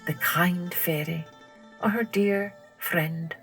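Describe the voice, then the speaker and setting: A woman storyteller reading a scripted children's tale in a deliberate, expressive manner. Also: fairly low pitch, soft background music. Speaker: storyteller, podcast host.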